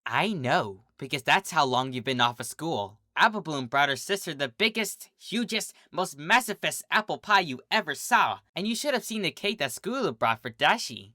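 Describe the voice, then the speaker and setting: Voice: mid-range voice, very animated, normal speed, hardly any pauses, sharp tone, dry recording, clean studio sound. A fan reader voicing a bubbly female earth pony who turns sly and probing, with a sing-song, teasing delivery.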